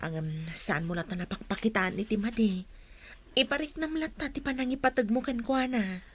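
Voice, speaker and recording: A woman playing a gentle, apologetic middle-aged mother in a radio drama, speaking hesitantly. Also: on the high side, lively intonation, normal speed. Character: soft, apologetic mother of a teenage girl. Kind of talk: Filipino radio drama acting.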